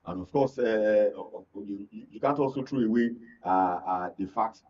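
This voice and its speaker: A Nigerian man speaking as a guest in a political panel discussion, halting and repeating words for emphasis. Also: on the low side, a little mellow. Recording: dull, slightly distant studio sound.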